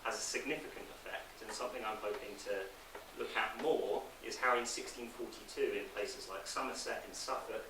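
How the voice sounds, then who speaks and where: A man answering questions on an academic conference panel, speaking in a measured, formal way with hesitations. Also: fairly low pitch, fairly even intonation. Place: conference room, indoors. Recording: distant echoey conference room, clear noise.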